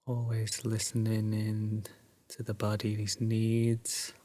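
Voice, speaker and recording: Male guide for sleep meditation: drowsy, breathy, very unhurried delivery, dry close sound. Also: lowish voice, monotone, dry recording. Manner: soft, drowsy, soothing, with long pauses.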